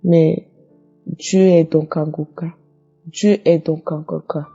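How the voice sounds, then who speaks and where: A woman speaks slowly with a husky, gravelly and very dark voice. She gives a fervent testimony, repeating herself and breaking into praise. The recording is dull and lo-fi, with faint music underneath. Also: low-pitched, flat delivery. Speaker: caller giving a testimony. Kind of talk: emotional spoken testimony.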